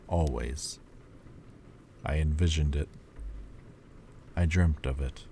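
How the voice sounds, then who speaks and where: A brooding ancient demon lord, as a man acts him out speaks in an extremely low, mellow voice. He talks slowly and haltingly in a roleplay monologue. A faint hum of ambience sits behind him. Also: exaggerated voice. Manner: slow, quiet, halting confession. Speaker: voice actor.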